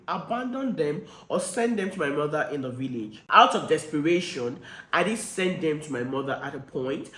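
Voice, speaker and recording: A man with a croaky cold voice reading the letter of a hurt, guarded woman in her late forties. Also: deep voice, a bit bright, roomy sound. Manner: earnest, confessional reading.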